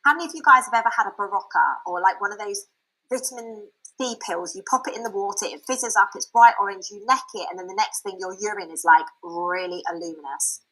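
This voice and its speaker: A woman giving a frank, chatty personal testimony that turns into a sales pitch, with many fillers and restarts. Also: a little fast, a bit bright, rough recording. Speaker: speaker sharing a product testimonial.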